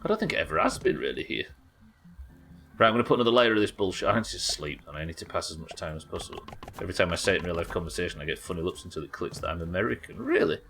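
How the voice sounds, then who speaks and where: Lively low-voiced British man chatting on stream. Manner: rambling, reads chat messages aloud and muses over them. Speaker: streamer host. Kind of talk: casual live-stream chat while gaming.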